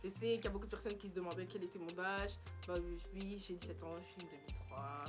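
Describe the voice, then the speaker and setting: A woman's voice: on the low side, fast. Teen girl vlogging, chatty, tosses in english bits, lots of ums, music going behind her. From Belgium. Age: teens. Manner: chatty, mixes in English phrases, many fillers. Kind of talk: casual chat.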